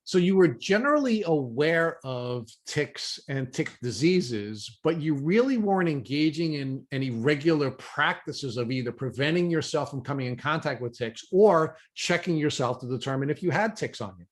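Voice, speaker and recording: An American man from New York hosting a podcast interview, speaking in deliberate, formally phrased sentences. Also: fairly high pitch, lively intonation. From New York, USA.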